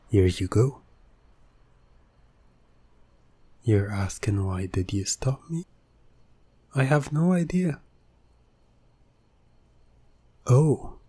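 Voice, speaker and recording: Coaxing male hypnotist, played by a man, ASMR roleplay: soft, dark-toned, unhurried, persuasive and soothing. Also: medium pitch, no echo. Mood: feigned innocence. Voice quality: soft, breathy. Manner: soothing, persuasive, deliberate, often dropping to a whisper. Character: smooth-talking, coaxing male hypnotist playing innocent motorist.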